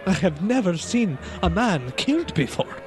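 An evasive, emphatic witness acted by a man in an old-time radio drama. The voice is fairly high and swings widely, over prominent background music. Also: big pitch swings, moderate pace. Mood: distressed, defensive. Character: evasive man recounting a friend's death.

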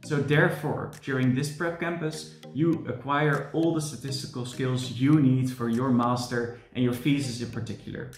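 Young guy tutor pitching his course on webcam, earnest, echoey room, faint music under. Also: fairly even intonation, moderate pace, few pauses. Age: young adult. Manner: earnest, explanatory, with frequent "furthermore" and restarts. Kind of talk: promotional talk to camera. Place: room with large windows, indoors.

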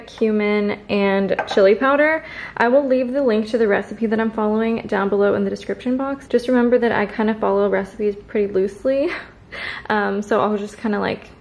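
A woman's voice: medium pitch, steady tone, normal speed. A woman in her twenties chatting casually through a home vlog, bubbly and laughing often. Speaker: lifestyle vlogger. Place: home kitchen and living room. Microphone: handheld vlog camera.

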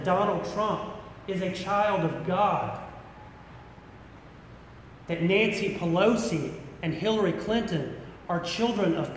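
A middle-aged American man preaching a sermon as a pastor, speaking earnestly and deliberately with emphatic repetition. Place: large church interior. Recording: echoey hall with rumbling background noise.

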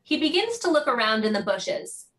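A woman reads stage directions as a narrator. Her voice is on the high side and clearly bright, delivering scripted narration for a play. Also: sing-song, normal speed.

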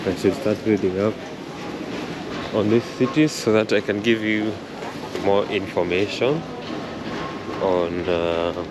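A young man talks into a camera held close to his chest as he walks. His voice sits a little low, and he takes his time. Street and traffic noise comes through clearly behind him. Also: steady tone. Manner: slow, thoughtful, chatty, says he struggles with R and L. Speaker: travel vlogger. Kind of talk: walking travel vlog. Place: outdoors at a rainy harbor, then inside a concert hall. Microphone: camera held close at chest height.

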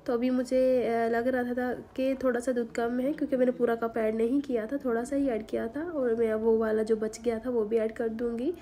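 A woman, hoarse from a sore throat, giving a chatty home cooking voice-over with many fillers. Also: on the high side, level tone, moderate pace, few pauses, dark tone, slight background noise. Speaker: cooking channel host. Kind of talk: recipe voice-over. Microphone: voice-over recorded separately.

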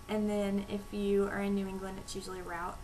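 A young woman from North Texas with a Texas accent, chatting to camera at home with lots of ums and reading out a word list over a weak, quiet webcam microphone. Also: mid-range voice, level tone, moderate pace, rough recording. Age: young adult. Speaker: vlogger. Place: small room at home, indoors. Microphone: webcam microphone, low volume. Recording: quiet webcam mic with hiss.

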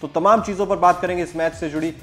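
A young man presenting a scripted cricket match preview to camera from a studio, in a brisk, fluent news style. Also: high-pitched, steady tone. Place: studio with virtual backdrop.

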